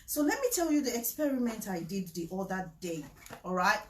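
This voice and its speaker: A woman's voice: mid-range voice, very animated, fairly quick, a little hiss or hum. Chatty woman, echoey room. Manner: chatty, emphatic, repeats herself, laughs often. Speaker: vlogger promoting supplements. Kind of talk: casual talk mixing a sales pitch with preaching.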